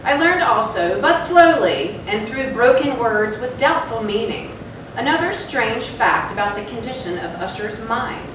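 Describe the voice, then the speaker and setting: A woman giving a measured, solemn dramatic narration of a gothic story in a stage reading. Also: a little high, expressive. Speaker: narrator in a readers' theatre. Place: live theatre stage, recorded from afar. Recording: very echoey, distant, noisy hall.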